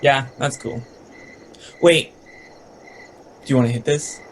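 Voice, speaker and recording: A man's voice: mid-range voice, takes it slowly, a little hiss or hum, close to an everyday voice. A man playing a laid-back, teasing young stoner in a dramatic reading, rambling in casual slang. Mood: relaxed, coaxing.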